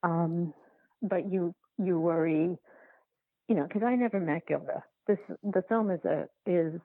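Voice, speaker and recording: A woman filmmaker answering interview questions in a halting, reflective way, often circling back to rephrase, over a phone-like line. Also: fairly low pitch, no room sound. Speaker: documentary filmmaker being interviewed.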